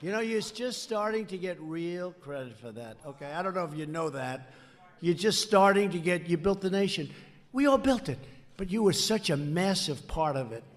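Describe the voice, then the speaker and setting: A man speaking emphatically and directly to listeners, heard in a quiet, distant recording. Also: sits high, lively intonation, moderate pace.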